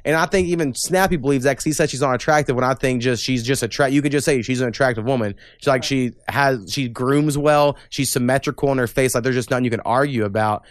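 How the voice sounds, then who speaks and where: A man's voice: medium pitch, fast, few pauses, no echo, clean studio sound. Blunt, opinionated young man hosting a podcast. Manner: blunt, opinionated, swears casually. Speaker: podcast host. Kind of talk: casual political chat.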